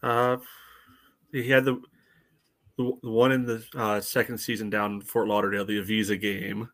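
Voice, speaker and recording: A man's voice: on the low side, level tone, normal speed, fairly bright tone. A man chatting casually as a sports fan on a podcast, wry and blunt, often saying "you know".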